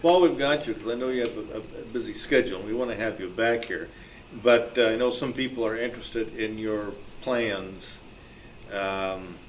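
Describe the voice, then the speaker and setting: A man's voice: fairly low pitch, moderate pace, background noise. A man informally moderating a meeting and inviting questions and responses from the room.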